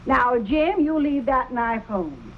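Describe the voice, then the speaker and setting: Middle-aged frontier mother, high voice, fond yet firm, plainspoken, dull old drama recording. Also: very animated, takes it slowly, a little hiss or hum. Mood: firm, chiding. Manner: chiding, plainspoken. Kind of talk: old-time western drama acting.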